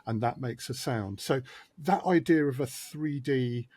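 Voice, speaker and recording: Husky guest guy, modest, walks through his process step by step, dry sound. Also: medium pitch, measured pace, a little mellow, no echo. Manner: modest, methodical explaining. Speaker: audio drama sound designer, guest. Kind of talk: conversational podcast interview.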